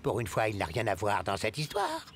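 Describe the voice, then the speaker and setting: A man's voice: on the low side, huge pitch swings, a little fast, creaky, a little hiss or hum, heavily acted. Wildly swooping stern schoolman. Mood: indignant, scolding. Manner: scolding, pompous. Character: stern, indignant adult man, cat-owning school authority. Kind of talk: French cartoon dubbing.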